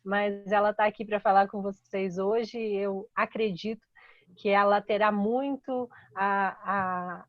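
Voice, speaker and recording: A woman's voice: mid-range voice, no room sound. Woman with dull, dry audio. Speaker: professor and host. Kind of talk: introducing a guest.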